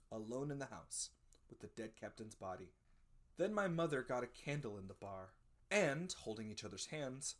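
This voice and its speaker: A narrator giving a dramatic scripted reading of a classic adventure story, with expressive, theatrical emphasis. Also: mid-range voice. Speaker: audiobook narrator.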